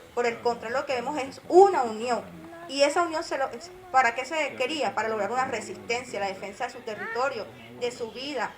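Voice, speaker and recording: Female academic speaker, steady explanatory lecture, somewhat high voice. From Colombia. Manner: measured, explanatory. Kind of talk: lecture at a forum.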